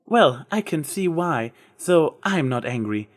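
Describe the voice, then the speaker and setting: A man's voice: fairly high pitch, very animated, takes it slowly, voice pushed up, exaggerated voice. A man voicing a proud, theatrical yet tender female unicorn magician in a story reading. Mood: warm, frank.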